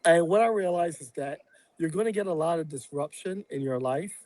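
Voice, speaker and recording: Podcast guest, man from Barbados, reflective and halting, slightly high pitch, dry recording. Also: darkish tone, no room sound, rough recording. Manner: reflective, halting with many fillers. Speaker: real estate investor and guest. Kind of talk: casual podcast interview.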